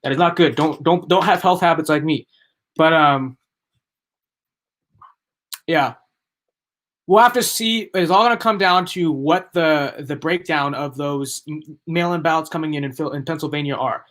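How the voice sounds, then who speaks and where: Young man, hoarse-edged stream commentary. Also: on the high side, moderate pace, long pauses, fairly bright tone. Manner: rambling, emphatic, repeats himself. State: losing his voice. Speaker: livestream political commentator.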